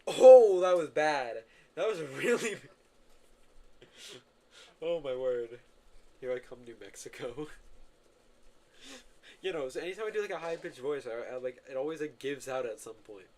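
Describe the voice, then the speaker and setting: A man's voice: on the high side, expressive, moderate pace, pauses often, warm tone. A man streaming a video game, giving casual, rambling commentary full of filler words and self-corrections. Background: game music.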